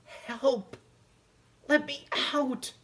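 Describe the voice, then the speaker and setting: A man's voice: extremely high pitch, steady tone, very slow, pitched above the performer's own voice, heavily acted. A man voicing a small, earnest, boastful boy mouse from a storybook, eager in manner. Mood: frightened.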